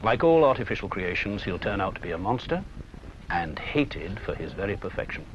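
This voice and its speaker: Really deep guy narrating old 70s training film, solemn and rhetorical, lively intonation, dull noisy audio. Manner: solemn, rhetorical, measured emphasis. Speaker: narrator and presenter. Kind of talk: scripted corporate film narration. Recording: muffled, noisy 1970s 16mm film soundtrack.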